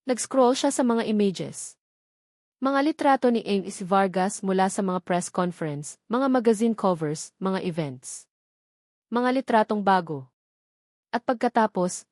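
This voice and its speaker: A woman's voice: mid-range voice, darkish tone, no room sound, studio quality. A woman narrating a scripted love story in a solemn, suspenseful, dramatic manner.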